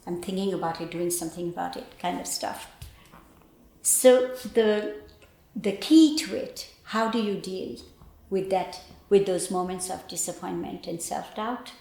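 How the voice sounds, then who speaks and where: An older woman from Bangladesh with a Bangladeshi accent, reflecting on her life as a painter in a thoughtful, halting way with pauses. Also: on the low side, creaky, a little mellow. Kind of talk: reflective personal interview. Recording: roomy, echoey indoor sound.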